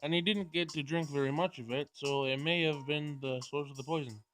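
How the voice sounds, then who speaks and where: A man's voice: a little high, steady tone, a little fast, a bit bright. A man doing a let's play of a visual novel, reading dialogue aloud with casual commentary and stumbling over words as he goes. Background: game music.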